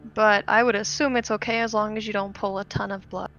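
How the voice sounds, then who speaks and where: A woman's voice: medium pitch, flat delivery, brisk, a bit bright, lo-fi sound. A woman talking casually as a player in a tabletop roleplaying game.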